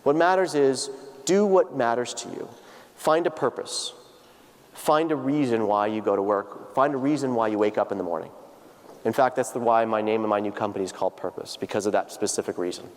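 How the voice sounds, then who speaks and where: A man's voice: mid-range voice, a little fast. Earnest man lecturing in a big hall. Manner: earnest, personal, with many restarts and fillers. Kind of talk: plenary lecture to students. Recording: long hall reverb.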